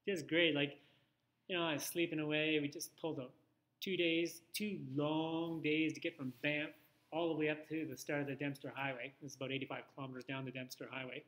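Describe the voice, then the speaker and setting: A man's voice: a little high, little pitch movement, brisk, a bit bright, poor audio. A man giving an informal instructional photography talk, conversational and thinking aloud with little asides.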